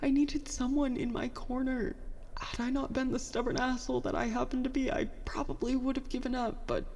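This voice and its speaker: An actress playing a bitter, self-doubting young petty officer in a halting, confessional monologue for a science fiction audio drama. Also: female voice, sits high, steady tone, a little mellow, long reverb tail, slight background noise. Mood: sad, subdued. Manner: halting, conversational, self-deprecating, mildly profane.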